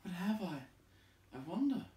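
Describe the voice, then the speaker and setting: Plucky little storybook adventurer, voiced by a male reader: exasperated, insistent, higher-pitched calls in an echoey room. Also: big pitch swings, unhurried, warm tone. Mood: puzzled. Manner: insistent, calling out. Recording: echoey room, distant from mic.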